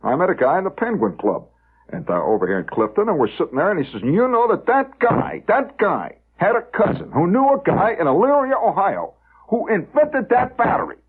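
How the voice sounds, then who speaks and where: A man putting on an excitable, gullible New Jersey guy who insists on rumors in a rambling, indignant way. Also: high-pitched, a little fast, breathy edge, voice pushed up, full character voice. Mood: insistent. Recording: dull old radio recording.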